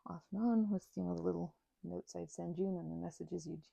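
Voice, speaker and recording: An actress playing a shy, grateful female vampire in an intimate audio roleplay, stammering and halting, often sinking into a nervous whisper. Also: lowish voice, steady tone, gravelly, dark tone, dry recording, highs cut off.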